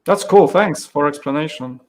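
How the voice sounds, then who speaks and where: A man's voice: on the high side, moderate pace. A man with a Polish accent chatting casually as a guest, hesitant and asking lots of questions. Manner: hesitant, repeats words, asks questions. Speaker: guest co-host with own channel. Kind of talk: casual chat while building.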